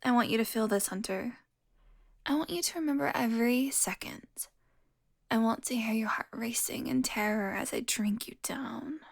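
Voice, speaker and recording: A woman's voice: on the high side, moderate pace. A woman playing a smug, flirtatious, predatory female vampire in an intimate audio roleplay, teasing and often dropping toward a whisper. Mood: menacing. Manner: taunting, sultry, drops into breathy whispers.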